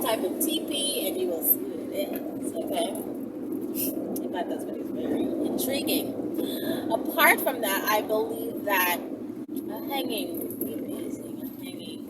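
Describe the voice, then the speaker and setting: A woman chats casually to viewers in a blunt, slangy, playful way. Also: recorded from a distance. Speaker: vlogger answering viewer questions. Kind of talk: casual, rambling chat to viewers. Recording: noisy vehicle rumble, voice off mic.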